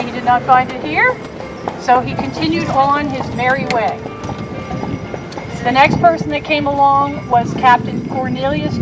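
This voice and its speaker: A woman giving guided tour commentary from a horse carriage outdoors, with a loud voice and a chatty, joking manner. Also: high voice, poor audio. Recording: background music over the voice.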